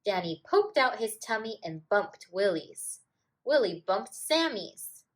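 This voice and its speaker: A woman teacher reading a children's picture book aloud in a deliberate, expressive storytelling manner. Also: medium pitch.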